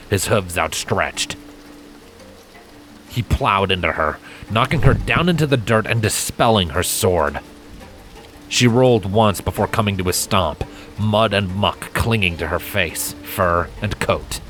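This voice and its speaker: A man reading a dramatic fantasy story as a scripted narrator, measured and deliberate. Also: fairly low pitch, a bit bright. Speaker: fan fiction narrator.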